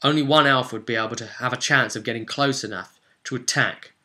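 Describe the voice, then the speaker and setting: A man narrating a fantasy role-play recap as game master, in a dramatic, theatrical storytelling style with emphatic phrasing and deliberate pauses. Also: medium pitch, bright, forceful tone.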